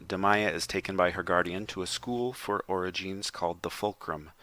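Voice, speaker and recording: A man's voice: low voice, fairly even intonation, moderate pace, bright, forceful tone. A man on a podcast reading a prepared book summary aloud, with a wry, tongue-in-cheek delivery and joking asides.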